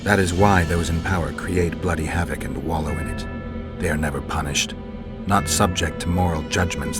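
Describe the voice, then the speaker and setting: Casting: world-weary fantasy game hero, very low voice, grave, measured delivery. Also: male voice. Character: brooding, world-weary male monster hunter narrating grim events. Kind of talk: dramatic game narration and dialogue.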